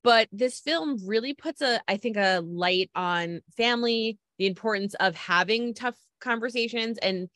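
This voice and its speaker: A woman's voice: on the high side, unhurried, few pauses, a bit bright, dry recording, clean studio sound. A woman interviewer chatting casually in an informal, effusive way.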